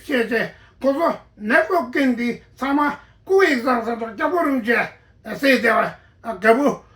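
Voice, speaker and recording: A woman giving a halting spoken account, with many pauses and restarts and a voice that sometimes breaks with emotion. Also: a little high, a bit bright. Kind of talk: spontaneous personal account. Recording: echoey room, voice from a distance.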